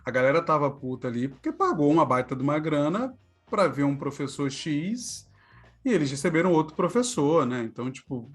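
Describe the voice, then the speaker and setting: Higher-voiced Brazilian co-host. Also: male voice, sing-song, a little fast, breathy edge, soft background music. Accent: Brazilian Portuguese. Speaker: podcast co-host. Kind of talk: casual chat.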